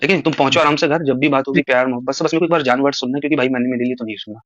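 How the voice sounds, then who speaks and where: Fast-talking man lecturing on a dull, dry phone call recording. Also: mid-range voice, a bit bright. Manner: rapid, pleading, lecturing. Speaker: caller.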